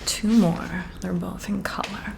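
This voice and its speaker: A woman whispering up close in an ASMR roleplay as a flirty, intrigued boss, breathy and intimate with drawn-out pauses. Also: mid-range voice, steady tone, background noise. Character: flirty, intrigued female boss giving a job interview. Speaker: ASMR performer role-playing a flirty new boss. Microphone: close-up ASMR microphone.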